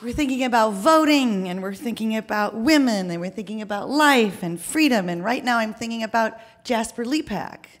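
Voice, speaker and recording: A woman's voice: fairly high pitch, lively intonation, normal speed, few pauses. A woman hosting a live stage show, introducing a performer. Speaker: show host.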